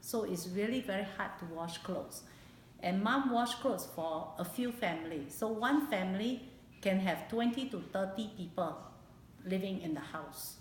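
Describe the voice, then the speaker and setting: A woman in her late fifties with a Singaporean accent recalls her life in a personal interview, speaking haltingly and repeating phrases for emphasis. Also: medium pitch, animated. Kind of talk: personal oral history interview. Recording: echoey room, background hiss.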